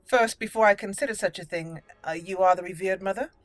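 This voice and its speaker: A woman's voice: medium pitch, fairly quick, no echo. A woman hosting a gameplay video, speaking her character's lines aloud and adding her own commentary. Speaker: gameplay host. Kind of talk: let's play commentary with in-character roleplay. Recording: music behind the voice. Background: game music.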